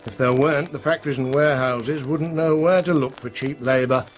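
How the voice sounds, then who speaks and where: An actor playing a grave, probing police inspector in a radio drama, speaking bluntly and deliberately. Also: male voice, medium pitch, steady tone, close to an everyday voice. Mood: solemn, pointed. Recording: music under the voice.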